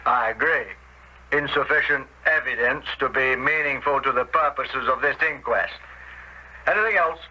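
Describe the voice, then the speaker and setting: An actor playing an official coroner presiding over an inquest in a radio drama, formal and dry. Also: male voice, medium pitch, moderate pace, bright, forceful tone, lo-fi sound, a little hiss or hum. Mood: formal, probing. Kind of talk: 1970s radio drama acting.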